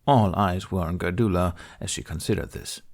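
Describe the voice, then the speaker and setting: A man's voice: low voice, normal speed, gravelly, darkish tone. A man reading scripted fiction as an audiobook narrator.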